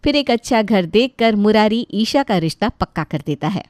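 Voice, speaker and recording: A woman reading scripted storybook narration in an expressive, lightly wry way. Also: medium pitch, normal speed, no room sound.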